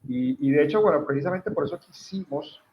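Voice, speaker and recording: A man's voice: on the high side, expressive, a little fast, lo-fi sound. Friendly male interviewer, faint background hiss. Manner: friendly, informal, repeats words while asking. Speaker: interviewer host. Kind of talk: casual interview questions.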